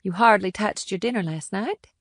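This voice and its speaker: A woman's voice: medium pitch, normal speed, no echo, clean studio sound, close to an everyday voice. Woman playing a self-assured glamorous big sister. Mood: teasing. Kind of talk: audiobook character dialogue.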